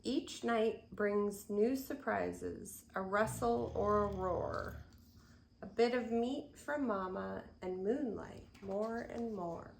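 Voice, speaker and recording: An older woman reading a picture book aloud in an expressive, unhurried way, with chatty asides to young listeners. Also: mid-range voice, gravelly. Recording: roomy echo, faint background noise.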